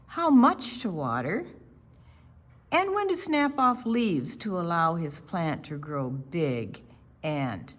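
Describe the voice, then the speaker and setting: An older woman reading a picture book aloud to children, expressively, pausing to explain the pictures. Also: lowish voice, big pitch swings. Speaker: storytime reader.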